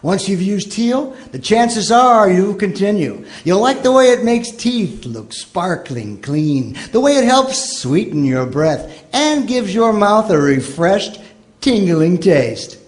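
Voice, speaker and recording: A male announcer reads in the style of vintage radio. His voice is high for a man and very lively, rising and falling widely through scripted copy. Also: slow. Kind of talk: old-time radio commercial and scripted narration.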